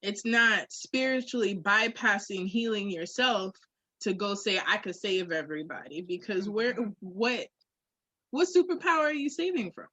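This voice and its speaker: A woman's voice: medium pitch, moderate pace, sharp tone, dry recording. A woman co-hosting a podcast in candid, casual chat, telling things conversationally with little acted asides. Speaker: podcast co-host.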